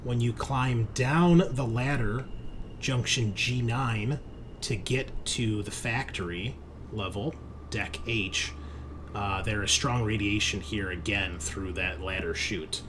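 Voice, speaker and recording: A man's voice: fairly low pitch, takes it slowly, fairly bright tone, a little hiss or hum. A man running a tabletop roleplaying game as game master, talking in a casual, conversational way.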